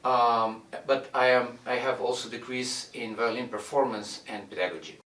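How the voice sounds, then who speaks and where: A middle-aged man from Argentina, a violin professor introducing his studio in a measured way, with many "uh" pauses as he searches for words. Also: mid-range voice, a bit bright, far from the mic. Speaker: violin professor and orchestra director. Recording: roomy echo, mic set back.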